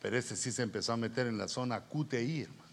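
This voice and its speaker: A man's voice: mid-range voice, fast, vocal fry. A middle-aged man with a Guatemalan accent preaching as a pastor in an informal, colloquial storytelling style, acting out bits of dialogue. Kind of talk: conversational sermon with anecdotes.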